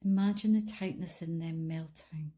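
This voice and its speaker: A woman leading a guided body scan meditation in a calm, soothing, unhurried manner, leaving long pauses between instructions. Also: sits low. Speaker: meditation guide, life coach.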